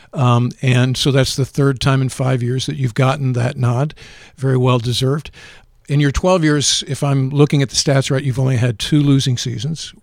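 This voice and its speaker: A man's voice: medium pitch, vocal fry, a little mellow, no echo, studio quality. A middle-aged man hosting a podcast, asking questions in a chatty, friendly way. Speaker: podcast host. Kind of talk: podcast interview.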